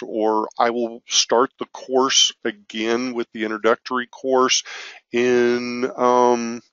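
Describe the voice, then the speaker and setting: A man with a raspy voice from allergies, teaching an online business course in a loose, self-correcting way with frequent fillers. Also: mid-range voice, a bit bright, no echo, dull audio. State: raspy from allergies, occasional cough. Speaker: business marketing instructor. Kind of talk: screen-share course walkthrough.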